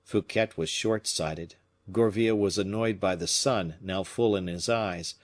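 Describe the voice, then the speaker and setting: A man narrating a classic novel as an audiobook reader, measured and expressive. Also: deep voice.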